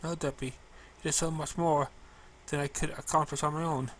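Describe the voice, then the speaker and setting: A man voicing a lonely, gentle female pony in a cartoon fan story, speaking softly and earnestly. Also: fairly high pitch, little pitch movement, moderate pace, a little hiss or hum, lightly acted. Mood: grateful, gentle.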